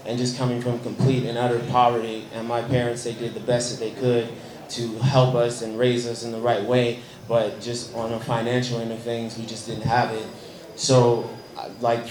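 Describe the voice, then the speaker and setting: Slightly hoarse Chicago American man. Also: medium pitch, darkish tone, reverberant, slight background noise, low-quality recording. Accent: Chicago American English. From Chicago, United States. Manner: earnest storytelling, frequent ums. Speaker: guest musician. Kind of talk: speech at a press event.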